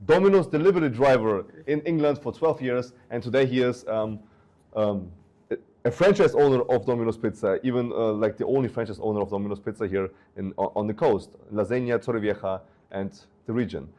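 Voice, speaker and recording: Young male host, mellow tone, hesitant interviewer who restarts his questions. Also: medium pitch, fairly quick. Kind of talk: interview hosting.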